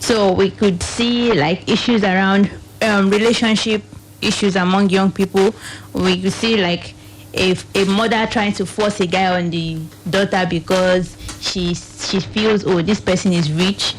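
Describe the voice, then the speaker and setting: A woman's voice: mid-range voice, steady tone, unhurried, background noise. A Nigerian woman, a program officer speaking as a studio guest, explaining things in a radio interview in loosely pieced-together phrases.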